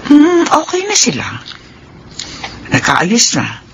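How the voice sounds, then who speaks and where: A woman's voice: high-pitched, wildly swooping pitch, slow, a little hiss or hum, exaggerated voice. An actress playing a stern but caring middle-aged Filipino mother and wealthy benefactress in a radio drama. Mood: calm, earnest.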